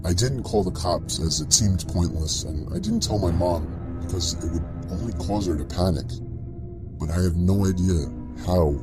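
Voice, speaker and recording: A man's voice: low voice, normal speed. A man reading a scripted first-person scary story as a narrator, with music playing behind him.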